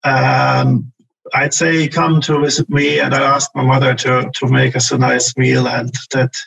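A man, middle-aged or older, from northern Germany, chatting in an interview in a husky, creaky voice, often pausing to search for words. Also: medium pitch, level tone, a bit bright, slight background noise, rough recording. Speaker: podcast guest, business development manager. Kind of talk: casual interview chat.

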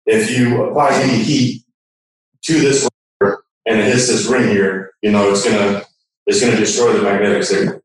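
A man's voice: lowish voice, steady tone, moderate pace, slight background noise. A man giving a conversational, hands-on technical demonstration, recorded in an echoey room. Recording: echoey room, distant microphone.

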